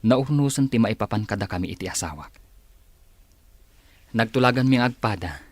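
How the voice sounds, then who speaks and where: A male actor as a protective, reasonable family man in a radio drama. His voice is fairly low and close-recorded, with a measured, firm delivery. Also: expressive, no room sound. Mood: earnest, respectful. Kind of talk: Ilocano radio drama acting.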